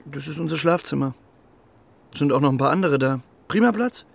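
A man playing an easygoing, teasing pigeon pal in a children's radio play, with gravel in the voice and a clipped, offhand manner. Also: fairly high pitch, expressive, a little fast, exaggerated voice. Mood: breezy.

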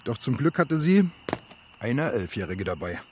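A jovial middle-aged showman dad, kids radio play, mid pitch, bit of noise behind. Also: sing-song, a little slow, darkish tone. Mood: relaxed, teasing. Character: jovial fairground father, a showman husband. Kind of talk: children's radio play acting.

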